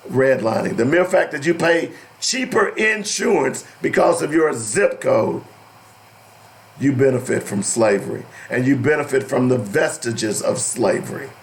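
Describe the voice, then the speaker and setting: A man hosting an opinionated radio talk show, deliberate and emphatic, with a strained, cracking voice that is giving out. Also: mid-range voice. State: losing his voice. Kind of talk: opinionated radio talk, partly reading aloud.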